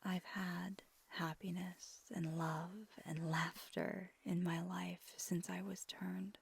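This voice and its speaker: Breathy, husky vampire girlfriend acted by a woman. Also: lowish voice, level tone, exaggerated voice. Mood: reflective, earnest. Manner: slow, gentle, intimate. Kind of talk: ASMR roleplay, soft whispered pillow talk.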